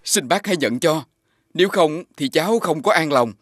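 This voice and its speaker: An actor playing an earnest, devoted, respectful young man in an animated fairy tale. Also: on the high side, normal speed, no room sound, studio quality. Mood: pleading.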